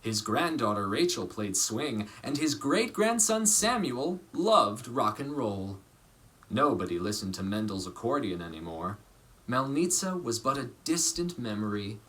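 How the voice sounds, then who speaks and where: A male storybook reader with a mid-pitched voice that moves up and down in a lively way. He narrates for children with measured, dramatic phrasing and pauses for emphasis. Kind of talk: expressive scripted storytelling for children.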